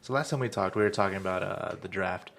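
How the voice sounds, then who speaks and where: Gravelly low-voiced man joking. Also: quick pace, a little hiss or hum. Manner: joking, loud and playful. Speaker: podcast co-host. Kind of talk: casual sports chat.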